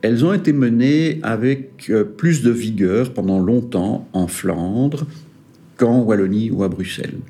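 A man answers interview questions as a regional director, weighing his words and leaning on key points. His voice sits low, with faint music in the background. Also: mellow. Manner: measured, hesitant fillers, stressing key points. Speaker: regional director of an employers' federation, guest.

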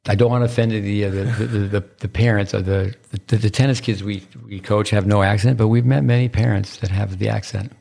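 A man hosts a tennis podcast in loose, rambling talk, stumbling and repeating words as he goes. His voice is husky and gravelly and sits fairly low. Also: fairly even intonation, a little fast, hardly any pauses, mellow. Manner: rambling, repetitive, stumbling restarts. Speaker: podcast host and tennis coach. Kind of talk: casual interview chat.

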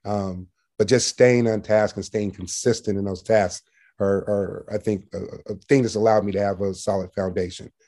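Low, mellow man with assertive sales delivery. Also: fairly even intonation, moderate pace. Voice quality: loud, projecting voice. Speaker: senior sales manager, interview guest. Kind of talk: conversational business interview.

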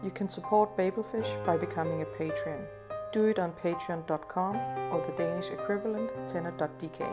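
A woman hosting a podcast, reading her intro and asking interview questions in a steady, measured way. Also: on the low side, soft background music. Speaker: podcast host.

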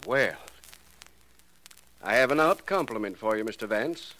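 An actor playing a suave criminal professor in a 1940s radio drama, speaking formally with mocking courtesy and quiet menace. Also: male voice, medium pitch, lively intonation, a little slow, poor audio. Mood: mockingly courteous. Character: suave, self-assured criminal professor, an extortionist.

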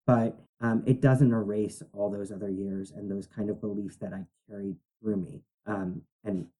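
A man co-hosting a podcast who talks in an animated, halting way with lots of fillers. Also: fairly low pitch, rough recording. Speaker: podcast co-host. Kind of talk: casual conversation.

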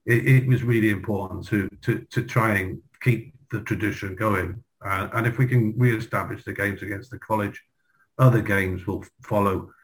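A man, reminiscing in a halting way, often repeating words. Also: lowish voice, fairly even intonation, rough recording. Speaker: guest, former pupil. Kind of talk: reminiscing in a group video chat.

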